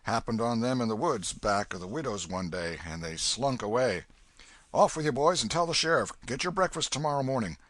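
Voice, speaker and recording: A man voicing a hearty, kindly old farmer who talks loudly and bluffly. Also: lowish voice, normal speed, hardly any pauses, no echo, natural voice. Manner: loud, hearty and commanding.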